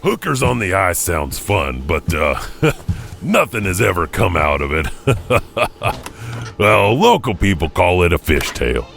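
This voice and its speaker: A man's voice: very animated, takes it slowly, fairly bright tone. A man hosting and narrating spooky legends in a theatrical, chuckling manner. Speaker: storytelling host. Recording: clearly noisy background rumble.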